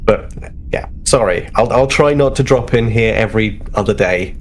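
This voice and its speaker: A man's voice: lowish voice, moderate pace, slight background noise, natural voice. A man playing a cheeky, thrill-seeking starship officer in a tabletop game. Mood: cheeky, sheepish.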